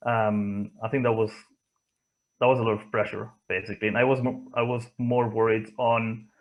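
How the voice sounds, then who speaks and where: Male interviewee, Spanish-accented English, low register, thoughtful and halting, reflecting on his career. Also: poor audio. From Barcelona area, Spain. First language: not English, from Spain. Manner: hesitant, thoughtful, pausing often. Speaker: interview guest, motorsport engineer.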